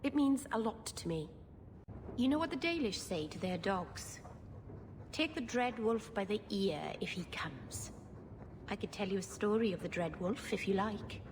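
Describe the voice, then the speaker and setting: An actress playing an awkward, earnest, bookish young elf mage in a video game. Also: female voice, medium pitch, lively intonation, measured pace, close to an everyday voice. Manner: earnest, self-deprecating, socially awkward. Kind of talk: video game dialogue and storytelling. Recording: reverberant room, clear background noise.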